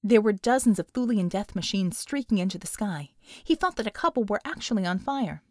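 A woman's voice: medium pitch, lively intonation, brisk, darkish tone, dry recording, clean studio sound. A woman narrating a scripted dramatic action story as an audiobook reader.

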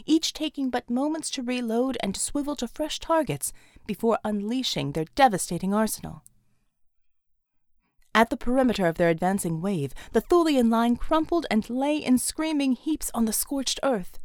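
A woman's voice: a little high, normal speed, no room sound, studio quality. A woman reading scripted action fiction as a dramatic audiobook narrator.

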